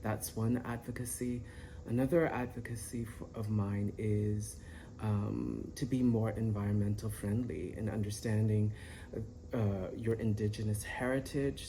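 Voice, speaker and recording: A man in his fifties, born in the Philippines, introducing himself as a professor and choreographer in a measured way with small restarts. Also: lowish voice, warm tone, a little hiss or hum, poor audio. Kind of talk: self-introduction to camera.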